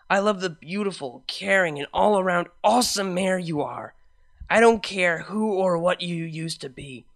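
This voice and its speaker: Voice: mid-range voice, creaky, fairly bright tone. Tender female unicorn pony, acted by a story reader, casual and devoted, sincere delivery for fan fiction drama. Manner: sincere, affectionate, deliberate delivery.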